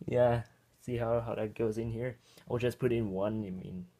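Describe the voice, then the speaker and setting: A man's voice: mid-range voice, fairly even intonation, a little slow, mellow. A man from Austria chatting casually as he tinkers with an old computer, rambling to himself and chuckling now and then. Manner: rambling, self-talk while tinkering, chuckles often. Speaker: vintage computer hobbyist. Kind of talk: casual hands-on tech vlog.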